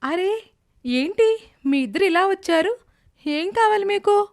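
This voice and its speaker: A woman's voice: extremely high pitch, expressive, unhurried, gravelly, exaggerated voice. A woman voicing a haughty, spiteful rich village woman with an imperious, scolding manner in a children's cartoon tale. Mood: haughty, demanding. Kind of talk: children's animated moral tale dubbing.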